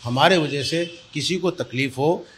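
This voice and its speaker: A man's voice: fairly high pitch, a little slow, fairly bright tone. An older man, explaining his position in a news interview and repeating words for emphasis. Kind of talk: spoken news interview answers. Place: small indoor office. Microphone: handheld reporter's microphone held close. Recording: clear background room noise.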